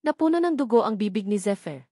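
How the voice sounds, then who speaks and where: A woman reading scripted audiobook narration of an action novel in measured, formal sentences. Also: mid-range voice, expressive, dark tone, breathy edge, dry recording, studio quality.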